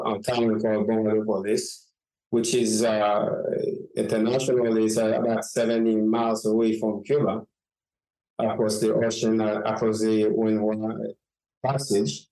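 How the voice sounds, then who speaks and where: A middle-aged man from Haiti, a journalist answering interview questions, talking haltingly with many fillers and restarts over a remote connection. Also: on the low side, warm tone. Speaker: guest journalist and author. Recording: echoey remote call audio.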